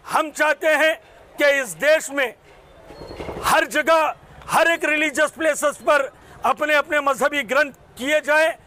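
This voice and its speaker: A man with a very high-pitched, sharp voice. He makes an impassioned public statement in emphatic, declamatory phrases, often repeating himself for effect. Faint street noise sits behind him. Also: unhurried. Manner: emphatic, declamatory, rhetorical repetition in short phrases. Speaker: advocate and community spokesman.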